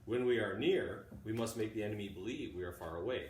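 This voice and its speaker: A middle-aged man, a teacher reading aloud in a deliberate way, pausing often between short phrases. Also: on the low side. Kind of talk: informal introduction and measured read-aloud of a classic book. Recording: roomy, distant mic, faint background noise.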